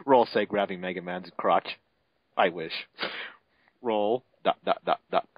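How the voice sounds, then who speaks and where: A man's voice: sits low, a little slow, no echo. A man narrating an amateur mocking dramatic reading of fanfiction, breaking into sardonic asides. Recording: dull, lo-fi home mic.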